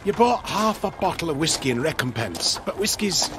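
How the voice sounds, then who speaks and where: An actor playing a friendly, sly, haggling army cook in a video game. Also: male voice, a little high, big pitch swings, normal speed. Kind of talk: video game character acting. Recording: noisy vehicle ambience behind.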